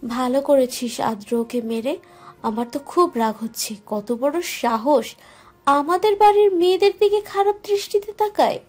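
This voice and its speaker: A woman voices a forthright elder sister from a romantic audio story. Her pitch is very high and her delivery emphatic, over faint music. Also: normal speed, lightly acted. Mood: indignant, shocked. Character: outspoken, protective elder-sister figure of a village family. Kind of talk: audio-story dialogue acting.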